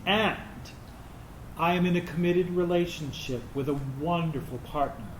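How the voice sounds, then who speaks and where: A man performing a self-accepting veteran's deliberate, emphatic monologue in a staged reading, in an echoey room. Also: on the high side, close to an everyday voice. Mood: resolute. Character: self-accepting Air Force veteran, free and defiant. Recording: echoey room, faint background noise.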